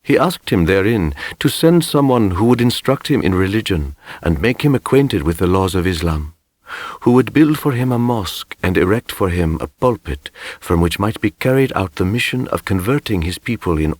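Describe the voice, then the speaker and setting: A man as a deep, husky, solemn medieval envoy. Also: no echo. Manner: stately, deliberate, formal. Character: solemn, formal medieval male envoy recounting his travels. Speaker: audiobook narrator. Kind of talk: first-person scripted storybook narration.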